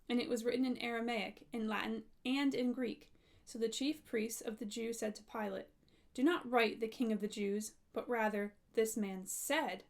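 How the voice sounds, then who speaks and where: A woman reading scripture aloud, measured and phrase by phrase. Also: a little high, darkish tone. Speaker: scripture reader.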